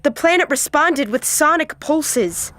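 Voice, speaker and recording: A woman acting a dutiful starship officer in an audio drama, reporting in brisk, formal military manner. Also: high voice, lively intonation, heavy background noise. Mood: urgent, dutiful. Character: crisp, dutiful female starship bridge officer.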